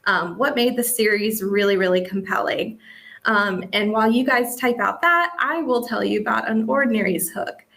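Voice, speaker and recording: A woman's voice: medium pitch, normal speed, creaky, a bit bright. Casual woman teaching, conversational and informal. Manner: conversational, peppers talk with "like" and "you know". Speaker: editor presenting a workshop. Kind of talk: casual interactive lesson.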